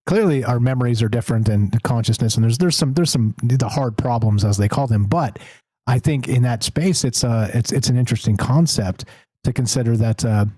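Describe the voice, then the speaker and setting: A man's voice: medium pitch, a little fast, warm tone, no echo. A man hosting a call-in talk show, rambling with many restarts and frequent laughs. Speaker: host.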